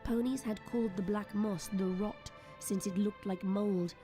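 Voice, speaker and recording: A woman's voice: medium pitch, fairly even intonation, unhurried. A woman reading scripted, dramatic story narration as the narrator of an audio drama. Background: soft music.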